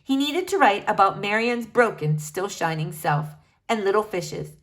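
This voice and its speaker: A woman reading a children's book aloud with expressive storytelling. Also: mid-range voice, normal speed. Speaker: reader.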